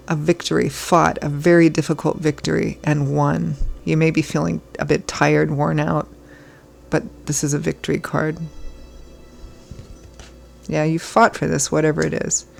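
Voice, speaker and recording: A hoarse woman giving a casual tarot reading as host, chatty and reassuring, her voice still froggy from illness. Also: low-pitched. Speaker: tarot reader.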